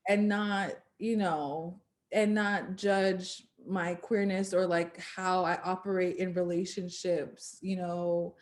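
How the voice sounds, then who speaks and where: A woman's voice: on the low side, level tone, takes it slowly. A woman in her late twenties hosting a casual interview, talking openly and candidly and laughing often. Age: 20s. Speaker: interview host. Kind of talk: casual chat.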